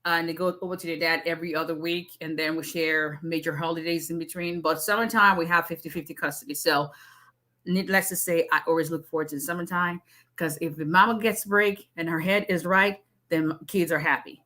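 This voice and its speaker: Middle-aged woman with a Nigerian-Southern US accent, a lawyer on a casual live stream: bright, punchy tone, fast and chatty. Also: fairly low pitch, steady tone. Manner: fast, chatty, with frequent asides and laughs.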